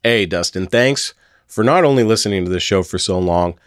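Low-voiced, hoarse male podcast host. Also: animated, normal speed, dry recording, clean studio sound. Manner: rambling, chatty with many fillers. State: hoarse from a sore throat. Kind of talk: casual podcast talk.

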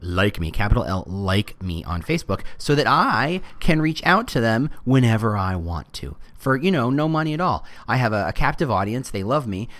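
A man's voice: medium pitch, fairly bright tone. Man playing an eager, gullible brand marketer.

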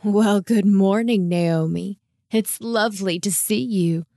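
A woman voicing a kindly, wise old male goat in a children's storybook, gentle, encouraging and old-fashioned in his courtesy. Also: medium pitch, slow pace, gravelly, no room sound, clean studio sound. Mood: warm, welcoming.

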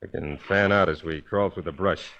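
An actor playing a gruff, skeptical, plain-spoken American lawman in a 1940s radio drama. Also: low voice, steady tone, moderate pace, muffled recording, close to an everyday voice. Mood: businesslike, alert. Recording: old radio recording with faint noise.

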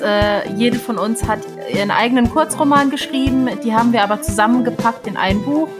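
A woman chatting casually as the co-host of a book podcast. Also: mid-range voice. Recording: music playing behind voice. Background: music.

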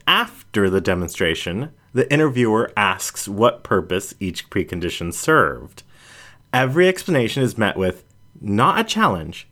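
Low-voiced man reading fiction, animated intonation. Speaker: audiobook reader. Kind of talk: scripted first-person story narration.

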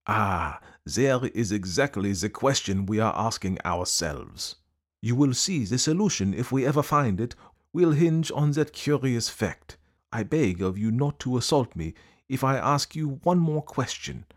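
A man voicing a fussy, precise male detective who asks polite, probing questions in a deliberate way. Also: medium pitch, expressive, pitched above the performer's own voice.

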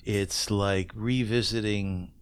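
A man's voice: fairly low pitch, fairly even intonation, slow, smooth. A man interviewing casually as a podcast host, inquisitive and conversational, checking back on what he hears. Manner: inquisitive, repeats and confirms answers.

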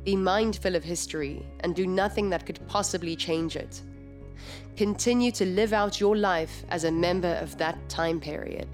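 A woman acting in an audio drama as a composed, businesslike project leader, speaking in a measured, formal, precise way. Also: lowish voice, faint music behind, natural voice. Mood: firm, formal. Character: composed, businesslike female project leader of a time-travel team.

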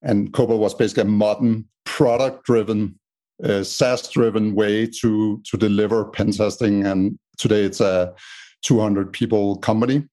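Man, danish accent, rather low voice, podcast guest, measured with lots of uh fillers. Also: fairly even intonation, warm tone. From Denmark. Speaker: podcast guest, startup co-founder. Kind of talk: casual interview answers.

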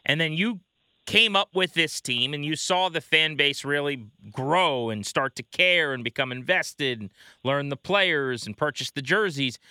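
A man's voice: on the high side, measured pace, pushed and bright, clear, resonant tone, dry recording. An American man hosting a baseball show, interviewing in a casual, conversational way.

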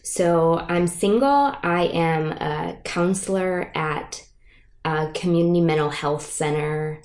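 A woman's voice: fairly low pitch, slow, a little mellow. A woman in her thirties with a Michigan accent, chatting casually and self-deprecatingly with old college friends at a home. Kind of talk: casual chat. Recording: roomy echo.

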